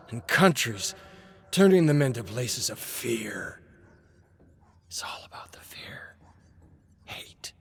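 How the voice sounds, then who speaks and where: A man voicing a battered, defiant old former agent in a story, with a strained, halting, scornful delivery. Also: medium pitch, a little hiss or hum. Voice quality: strained, struggling with every word.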